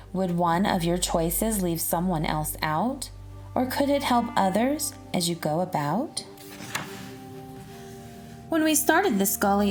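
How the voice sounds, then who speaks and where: A woman narrating for children in an expressive, deliberate and instructive read-aloud style. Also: mid-range voice. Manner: deliberate, instructive, addressing young listeners.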